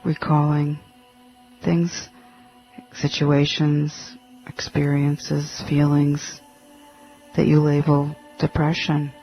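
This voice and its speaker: A man leading a guided meditation in a gentle, halting manner, leaving long pauses between short phrases. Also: fairly high pitch, completely flat delivery, gravelly. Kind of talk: guided meditation, spoken instructions. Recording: dull lo-fi audio with faint music.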